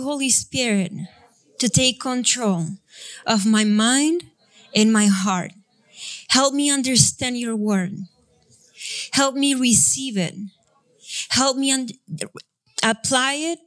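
A young adult woman pastor from Argentina with an Argentine Spanish accent, Spanish her first language, leading prayer and then preaching in a chatty, self-deprecating way with frequent laughs. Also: on the high side. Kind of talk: sermon with congregational prayer. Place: church sanctuary.

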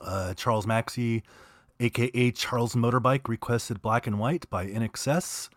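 A man's voice: medium pitch, normal speed, dry recording, studio quality. A man from Southern California co-hosting a radio show, easygoing and full of hesitations. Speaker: radio co-host. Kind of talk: casual radio chat.

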